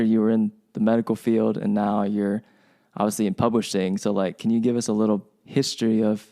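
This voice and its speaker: A man's voice: on the low side, vocal fry, dark tone. A man co-hosting a podcast interview, asking questions in a casual, rambling way with many restarts. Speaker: podcast co-host.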